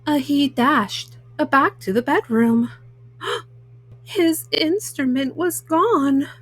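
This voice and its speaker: A woman reads a children's picture book aloud, haltingly, often stopping on small hesitant fillers. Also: high-pitched, a bit bright. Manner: halting, word-by-word reading with frequent hesitant fillers. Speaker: storyteller, host of a children's read-aloud show.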